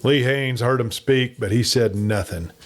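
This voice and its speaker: A man's voice: mid-range voice, a little slow. A man reading a western story as a scripted, dramatic audiobook narration.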